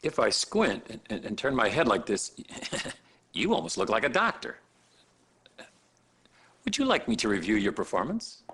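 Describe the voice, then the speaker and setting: An actor playing a sarcastic, condescending hospital supervisor in a video game cutscene, with theatrical, deliberate pauses. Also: male voice, fairly low pitch, very animated, fairly bright tone, breathy edge, full character voice. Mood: mocking. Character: sarcastic, condescending male hospital boss berating a doctor.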